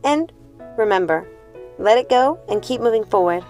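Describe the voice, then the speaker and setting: A woman reading a story aloud as an audiobook host, in an expressive narration style. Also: a little high, moderate pace. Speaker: audiobook reader and channel host. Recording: music behind the voice. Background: background music.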